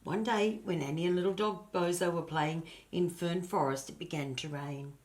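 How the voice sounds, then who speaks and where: Low-voiced older woman reading a storybook. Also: steady tone, normal speed, darkish tone. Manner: grandmotherly, addresses listeners and points out pictures. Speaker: storybook reader. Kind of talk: expressive children's storybook narration.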